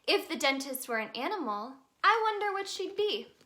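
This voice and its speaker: A woman reading a children's story aloud in an expressive, sing-song way for young listeners. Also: high-pitched, normal speed. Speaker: children's storybook reader, in a tooth fairy persona.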